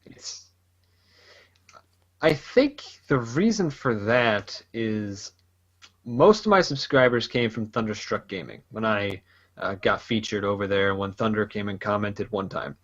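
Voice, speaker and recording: A man's voice: on the low side, animated, unhurried, a bit bright, highs cut off. A man casually chatting as a podcast host, rambling loosely and laughing often. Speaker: podcast host and gaming YouTuber. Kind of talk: casual chat.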